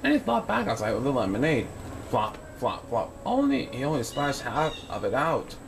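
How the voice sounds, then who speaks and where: A young man reads a children's picture book with a very animated, swooping delivery. He playfully performs the sound effects. There is clear background noise from a home recording. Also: medium pitch, normal speed. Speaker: storyteller reading a picture book. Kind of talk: children's read-aloud narration.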